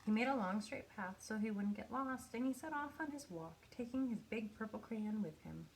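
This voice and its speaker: A woman reading a children's picture book aloud in an expressive storytelling manner, with pauses for effect. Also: a little high, gravelly, a little hiss or hum, low-quality recording. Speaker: storybook reader.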